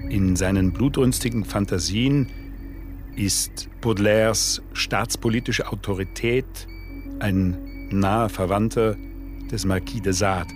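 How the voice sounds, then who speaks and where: A man reading a scripted literary essay as narrator, in a measured, formal manner. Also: on the low side. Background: music.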